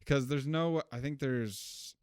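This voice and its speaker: A man's voice: medium pitch, slow pace, a little mellow, clean tone, dry recording, clean studio sound. A young man co-hosting a podcast, chatting casually about a movie he just saw, rambling and correcting himself. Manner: rambling, self-correcting, laughs at own jokes. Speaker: podcast co-host. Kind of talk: casual film review chat.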